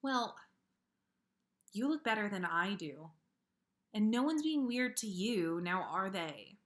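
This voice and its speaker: A woman's voice: mid-range voice, very animated, slow. A woman voicing a clever young witch in a storybook reading, self-conscious, earnest and questioning. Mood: deflecting.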